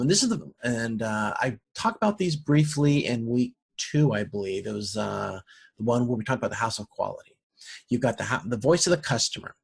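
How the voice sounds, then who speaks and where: Husky-voiced man giving an informal lecture. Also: medium pitch. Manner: halting, rephrases and repeats as he explains. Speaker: lecturer in operations management. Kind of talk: informal recorded lecture with explanations and examples.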